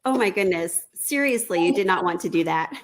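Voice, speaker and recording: A woman's voice: lowish voice, expressive, fairly quick. A woman hosting an interview, introducing her guest in a friendly, enthusiastic way. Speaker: podcast host.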